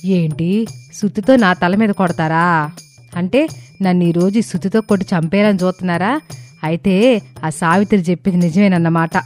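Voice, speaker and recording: A woman's voice: lowish voice, steady tone, moderate pace, darkish tone, soft background music, natural voice. Woman acting a greedy, scheming mother-in-law. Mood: frightened, accusing. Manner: insistent, dramatic, argumentative. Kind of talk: dramatised moral story acting.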